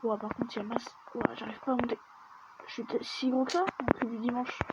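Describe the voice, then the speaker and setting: A woman's voice: on the high side, brisk. A woman giving casual let's-play commentary, chatting and muttering to herself as she plays, often dropping to a near-whisper. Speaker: gaming video creator. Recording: dull lo-fi mic with steady background noise.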